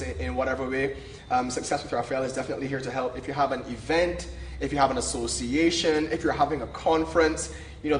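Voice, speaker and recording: Young guy plugging his coaching biz, smooth and sure of himself, roomy echo. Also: fairly high pitch. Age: young adult. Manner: polished, confident sales talk. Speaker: guest, motivational speaker. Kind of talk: promotional pitch in an interview. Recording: echoey room with faint noise.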